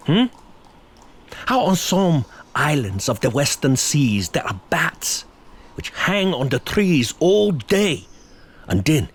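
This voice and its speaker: An actor playing a learned older professor and vampire hunter in a gothic audio drama, grave, probing and deliberate. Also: male voice, fairly high pitch, slight background noise, exaggerated voice. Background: music.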